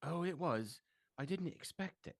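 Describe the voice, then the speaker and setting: An actor playing a wounded, frightened gentleman in an old-time radio drama, breathy, gasping and plaintive. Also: on the high side, lively intonation, measured pace, exaggerated voice. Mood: anguished, weak. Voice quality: husky, breathy.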